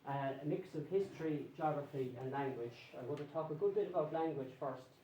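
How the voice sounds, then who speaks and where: An Irish man gives a talk to a local history society in a reverberant room, with a faint hiss underneath. His voice is mellow and unhurried. He explains patiently and keeps checking that the audience follows. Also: fairly high pitch. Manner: conversational, explanatory, checks in with "okay?". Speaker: guest lecturer on place names. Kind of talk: public lecture to a local society.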